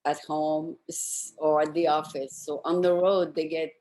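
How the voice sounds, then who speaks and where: A woman with a very low voice for a female speaker hosts a casual interview. She talks conversationally, putting questions and remarks to her guest. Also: little pitch movement, unhurried, mellow, rough recording.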